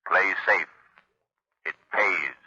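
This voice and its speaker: Sharp, ominous shadowy avenger. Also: male voice, low voice, extremely slow, breathy edge, no room sound, heavily acted. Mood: stern warning. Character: stern, ominous shadowy avenger issuing a public warning. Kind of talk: 1930s radio public safety warning. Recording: muffled 1930s radio broadcast recording.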